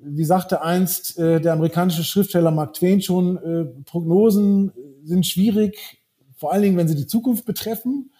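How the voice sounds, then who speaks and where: A man's voice: sits high, moderate pace, dark tone. A man in his forties who grew up in Brazil, a wealth manager talking through his views in a podcast conversation with frequent hesitations and restarts.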